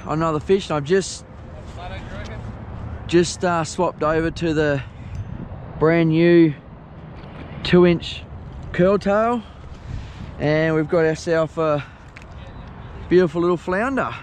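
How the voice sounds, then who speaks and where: A middle-aged Australian man giving relaxed, chatty fishing commentary by a lake, filmed on a head-mounted action camera. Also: on the high side. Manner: relaxed, chatty, unhurried with fillers. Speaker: fishing vlogger. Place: outdoors by a lake shore. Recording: outdoor noise, wind and water.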